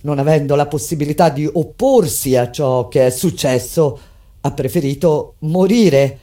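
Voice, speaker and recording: A woman performing a theatrical monologue as a grave resistance fighter recounting an uprising, in a solemn, deliberate, declamatory delivery. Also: sits low, close to an everyday voice. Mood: grave, tense. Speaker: actress narrating a stage monologue. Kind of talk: theatrical dramatic reading of a survivor's testimony. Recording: theatrical reading over prominent music. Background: loud musical accompaniment.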